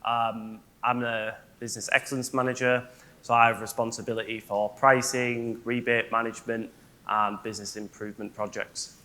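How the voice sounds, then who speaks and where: A man's voice: medium pitch, flat delivery, normal speed, sharp tone. A man speaking on a conference panel as a business manager, explaining his points step by step and often checking in with "right?".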